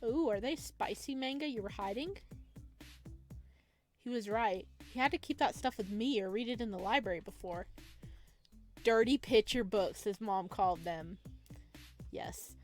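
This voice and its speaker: A woman reading a visual novel aloud as a let's play host, dropping chatty, expressive reactions between the narration. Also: medium pitch, normal speed, long pauses, soft background music.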